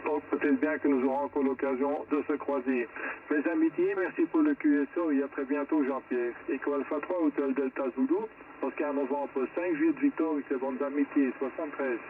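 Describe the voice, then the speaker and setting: Male ham operator, mid-range pitch, chatty and rambling, heard far off through a tinny narrow radio band. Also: steady tone, brisk. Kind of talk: casual ham radio contact. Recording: distant narrow-band shortwave radio, faint static.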